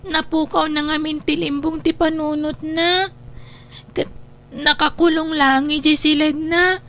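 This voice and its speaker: A woman's voice: high-pitched, level tone, a little slow, fairly bright tone. A woman voicing a poor, dutiful elder sister, a young girl who speaks earnestly and respectfully in an Ilocano audio drama. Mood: pleading.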